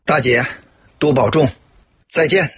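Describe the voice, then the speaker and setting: A man's voice: on the high side, a little slow, slightly rough. A man acting a friendly, eager policeman in a radio drama, talking in a hearty, earnest way. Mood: agreeable, earnest.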